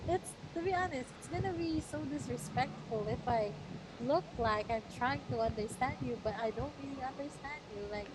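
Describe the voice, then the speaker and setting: A woman from the Philippines, a native Tagalog speaker with a Batangas Tagalog accent, chatting at length over a voice call and explaining things in a relaxed way. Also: sits high, moderate pace, noisy background. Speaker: girlfriend on a call. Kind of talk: casual chat.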